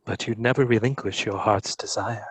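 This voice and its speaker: Man playing quietly menacing churchman, raspy, mid-low pitch, measured, pious, dry sound, dramatic table read. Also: steady tone, no room sound. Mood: pious, pitying.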